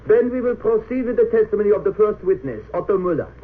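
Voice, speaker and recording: An actor playing a stern, curt German military prosecutor with clipped, imperious questioning in an old radio drama. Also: male voice, high voice, expressive, fast. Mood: cold, imperious. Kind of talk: 1930s-40s radio drama acting. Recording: noisy narrow-band old radio recording.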